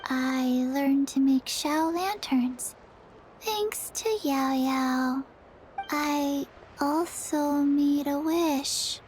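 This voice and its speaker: A woman voicing a small, forgetful, sweet zombie girl in a video game, with a halting, deadpan, childlike delivery. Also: high-pitched, slight background noise, rough recording. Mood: halting, dreamy.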